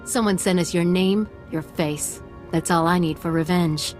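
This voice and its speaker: A woman's voice: lowish voice, fairly even intonation, moderate pace, soft background music, lightly acted. An actress voicing a cold, determined, vengeful woman in a video game. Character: vengeful woman hunting her sister's killer, cold and determined.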